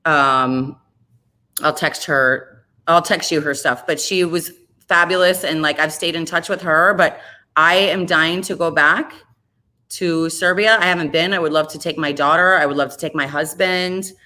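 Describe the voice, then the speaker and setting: A woman in her forties talks candidly in an interview, rambling and self-deprecating. Her voice sits a little low but has a bright, forceful edge. She speaks in an open, unpolished way. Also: steady tone, normal speed. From Chicago area, US. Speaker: radio host, interview guest. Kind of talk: casual interview chat.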